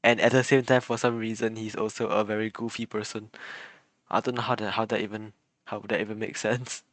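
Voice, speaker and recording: A man streaming a video game, chatting casually in an excitable, giggly, rambling way. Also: on the low side, fairly quick, no echo. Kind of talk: casual gameplay commentary.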